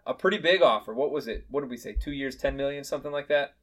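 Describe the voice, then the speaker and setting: A man from the Chicago area co-hosts a casual sports podcast. He is blunt and opinionated, and he drops jokes and the odd swear word. His voice sits at an ordinary middle pitch. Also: fast talker, fairly bright tone, husky. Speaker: podcast co-host.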